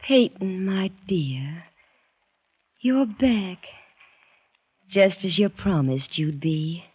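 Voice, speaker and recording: Breathy, tender wife. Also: female voice, medium pitch, lively intonation, darkish tone, full character voice. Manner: breathy, slow, murmuring. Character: tender, loving Southern planter's wife. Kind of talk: old-time radio drama acting. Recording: muffled vintage radio recording.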